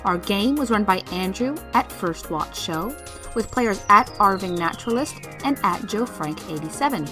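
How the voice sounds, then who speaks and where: A woman announcer reading scripted podcast credits. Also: medium pitch. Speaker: show announcer. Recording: music behind the voice.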